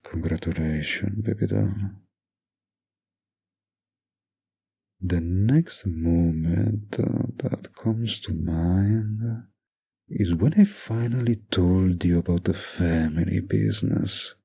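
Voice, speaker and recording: A man playing a doting, dominant young mafia heir boyfriend in an intimate roleplay audio drama, murmuring slow, possessive sweet talk with pet names. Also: dark tone, heavily acted. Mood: tender, reflective. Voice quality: low gravelly, breathy murmur.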